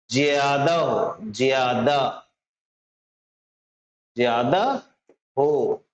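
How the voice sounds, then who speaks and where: Indian male teacher, high voice, slow deliberate pace, informal lesson style, frequent check-in questions. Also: darkish tone. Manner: repeats slowly, often checks understanding. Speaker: English teacher. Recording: roomy echo, slightly dull sound.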